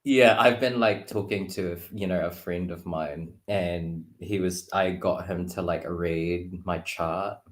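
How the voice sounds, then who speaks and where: A man in his thirties with a low voice talks casually, feeling his way through sentences with lots of little hedging words. His voice carries a clear room echo. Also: fairly even intonation, unhurried, hardly any pauses. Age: 30s. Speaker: guest card reader. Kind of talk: casual chat. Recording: roomy echo.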